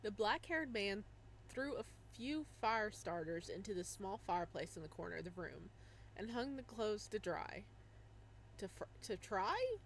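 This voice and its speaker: A woman streamer reading a visual novel aloud in an expressive way. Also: medium pitch, unhurried, slight background noise, low-quality recording. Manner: expressive, shrieks and laughs at surprises. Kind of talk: dramatic reading of game text with reactions.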